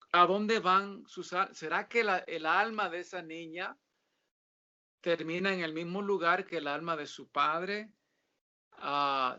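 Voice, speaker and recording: A man, a guest author, answering religious questions in a casual interview, talking haltingly with many filler sounds and pauses. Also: on the high side, pushed and bright, lo-fi sound. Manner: reads scripture aloud in a steadier tone.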